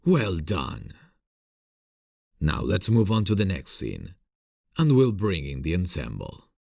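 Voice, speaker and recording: A man playing a gentle, encouraging theater director who is secretly smitten, in a breathy, gravelly scripted ASMR audio roleplay. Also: low voice, big pitch swings, slow, no echo, clean studio sound, heavily acted. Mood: proud, encouraging. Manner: gentle, encouraging, soft chuckles. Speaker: voice actor.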